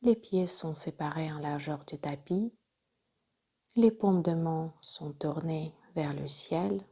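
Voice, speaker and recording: A woman guides a relaxation session in a mellow, fairly low voice. She is soft and breathy, often close to whispering. Her phrases come very slowly and calmly, with measured pauses between instructions. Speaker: yoga nidra instructor.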